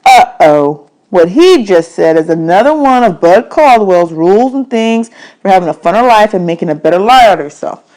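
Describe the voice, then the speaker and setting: A woman reading a children's novel aloud as an expressive audiobook, with deliberate, emphatic storytelling. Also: medium pitch, poor audio.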